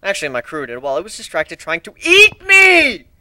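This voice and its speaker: A man's voice: high voice, huge pitch swings, fairly quick, sharp tone, no room sound, pitched above the performer's own voice, exaggerated voice. A man playing a sarcastic, cocky griffin pirate in an amateur story reading, with a flippant manner. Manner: flippant, sardonic.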